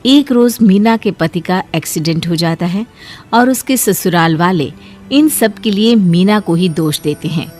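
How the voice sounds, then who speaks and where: A woman narrates a cartoon moral story in a steady, clear manner. Her voice sits a little low for a woman. Light music plays under her. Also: a little slow.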